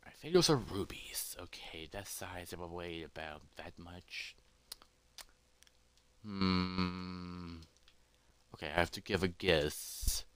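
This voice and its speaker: A man's voice: low-pitched, level tone, takes it slowly, fairly bright tone, clear, resonant tone, pitched below the performer's own voice. A man voicing an anxious, easily distracted elephant adventurer who mutters to himself with repeats and hesitations. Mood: muttering, cautious. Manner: fretful self-talk with repeats and hesitations.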